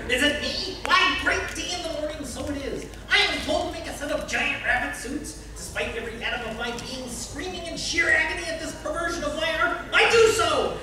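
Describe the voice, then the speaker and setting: Robot tailor played by a woman, high and theatrical, forever affronted; distant, echoey stage recording. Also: noisy background. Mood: proud, indignant. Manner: pompous, melodramatic, exclamatory. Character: indignant, theatrical robot tailor with a wounded artistic ego. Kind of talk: comic radio play acting before an audience.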